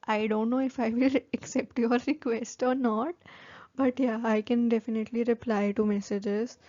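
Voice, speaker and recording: A woman's voice: a little high, fairly even intonation, moderate pace, mellow, no room sound, muffled recording. A young woman with an Indian English accent, whose first language is Hindi, giving casual career advice to her viewers with many "like" fillers. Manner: chatty, many "like" fillers, informal. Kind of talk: casual advice talk to camera.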